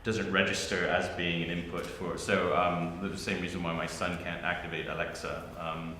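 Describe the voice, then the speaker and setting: Deep, bright-voiced man in an echoey hall. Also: little pitch movement, normal speed, a little hiss or hum. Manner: thinking aloud with many restarts and fillers. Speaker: panelist. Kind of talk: academic panel discussion. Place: university arts centre hall. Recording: roomy hall with long reverb.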